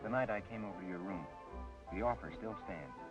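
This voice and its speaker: A man's voice: low voice, fairly quick, over loud music, lightly acted. An actor playing an assertive male club boss in old radio noir style. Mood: persuasive.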